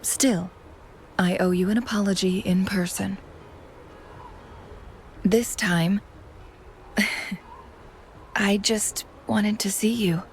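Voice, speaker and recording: Slow, courtly young strategist. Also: female voice, medium pitch, steady tone, a little hiss or hum. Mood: gracious, soft. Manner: formal, measured, polite. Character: gracious, composed young female strategist, courtly and subtly teasing. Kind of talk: video game voice acting.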